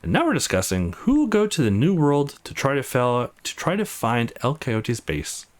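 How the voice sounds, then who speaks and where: Very animated man reading a game. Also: medium pitch, moderate pace. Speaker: let's play host. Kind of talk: reading visual novel game text aloud.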